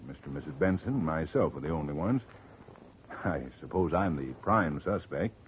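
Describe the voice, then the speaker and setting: A man playing a smooth middle-aged lawyer in a 1950 radio drama, genial and chatty, quietly scheming. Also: very deep voice, animated, moderate pace. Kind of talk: 1940s radio acting. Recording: tinny faint-hiss old radio recording.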